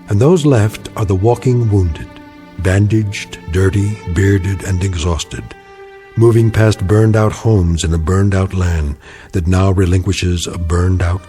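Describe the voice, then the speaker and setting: A man giving solemn scripted narration for a drama in a measured, portentous manner. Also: deep voice, dark tone.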